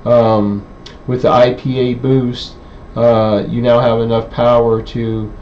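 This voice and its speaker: A man giving an informal technical demonstration in a halting, hesitant way with many pauses. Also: mid-range voice, little pitch movement. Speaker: presenter, radio hobbyist. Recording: dull, lo-fi sound with faint background noise.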